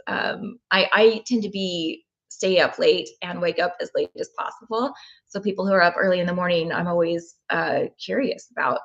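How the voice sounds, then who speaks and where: A woman's voice: medium pitch, vocal fry, lo-fi sound. A woman from Oklahoma hosting a live tapping session, reading chat comments with frequent ums. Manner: warm, thinking aloud with frequent ums.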